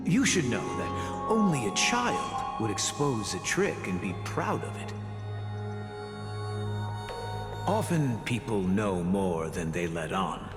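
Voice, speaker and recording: Lively, theatrical male teacher. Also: on the low side, unhurried. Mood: admonishing. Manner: didactic, theatrical. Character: patient adult male teacher, evasive, gently admonishing. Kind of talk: scripted video game voice acting. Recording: echoey hall reverb under music.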